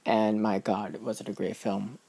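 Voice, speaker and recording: A man's voice: on the low side, level tone, measured pace, vocal fry, dark tone, breathy edge. A man giving a casual film review in a vlog, emphatic and gushing, often restarting his sentences.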